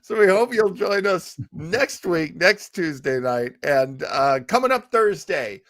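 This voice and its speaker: A man's voice: high voice, animated, a little slow, fairly bright tone, no echo. A man hosting a casual panel chat on a podcast. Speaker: podcast host.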